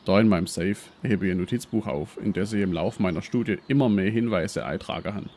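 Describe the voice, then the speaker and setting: An actor playing a brash, self-important professor and treasure hunter with a Swabian accent in a parody detective radio play. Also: male voice, deep voice, brisk, darkish tone, a little hiss or hum. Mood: explaining.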